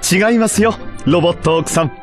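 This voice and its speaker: An actor playing a slick, chipper company pitchman in a parody game commercial, polished and reassuring. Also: brisk, faint music behind, natural voice. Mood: chipper selling. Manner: polished, reassuring sales delivery.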